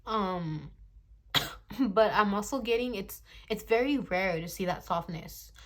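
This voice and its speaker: A woman's voice: medium pitch, slow. A woman in her twenties giving a casual tarot reading to camera, chatty and blunt, swearing freely and laughing often, recorded on a phone. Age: 20s. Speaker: tarot reader.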